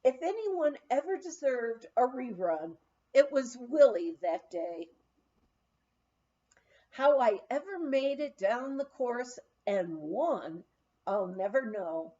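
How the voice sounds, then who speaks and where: A woman with a fairly high voice reads a humorous memoir aloud at a leisurely pace. Her delivery is expressive and full of lively rises and falls, in the manner of a storyteller. The recording is a little dull and lo-fi. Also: slow pace. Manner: animated storytelling, acting out quoted dialogue. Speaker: audiobook reader. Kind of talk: expressive reading of a humorous first-person memoir.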